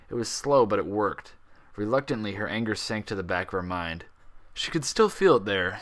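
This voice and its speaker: A man's voice: lowish voice, fairly bright tone. A man reading a fan fiction story aloud in dramatic audiobook-style narration.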